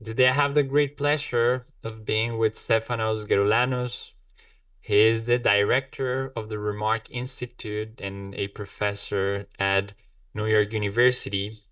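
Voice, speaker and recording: A man's voice: lowish voice, flat delivery, measured pace, a bit bright, no echo, distant mic. Effusive male podcast host, dry sound. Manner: effusive, repeats words while searching for phrasing. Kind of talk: interview podcast.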